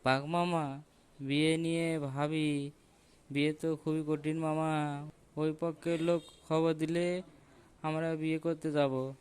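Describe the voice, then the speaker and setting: A man's voice: on the high side, little pitch movement, slow pace, pauses often, darkish tone, smooth. A man voicing a sly, scheming jackal in a cartoon, coaxing and instructing. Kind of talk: cartoon voice acting.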